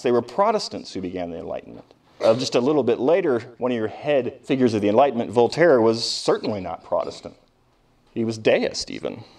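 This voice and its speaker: A man's voice: mid-range voice, darkish tone. An American man giving an informal history lecture as a professor, conversational and often putting questions to his class.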